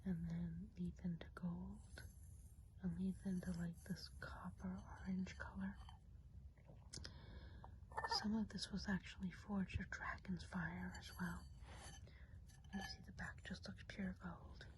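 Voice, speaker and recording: A woman whispering softly and breathily in an unhurried, reassuring ASMR role play as a kindly woodland witch. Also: on the low side, flat delivery. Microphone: close microphone. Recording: close whisper with audible background hiss.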